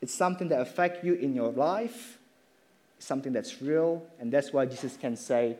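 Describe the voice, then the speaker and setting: Man preaching, mellow voice. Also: mid-range voice. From Indonesia. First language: Indonesian. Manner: halting, with many fillers and restarts. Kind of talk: sermon with slides.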